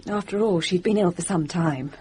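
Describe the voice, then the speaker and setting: An actress playing a composed, guarded young English gentlewoman in a radio drama, with a soft, breathy voice. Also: lowish voice, normal speed, a little hiss or hum, lightly acted. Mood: subdued, composed.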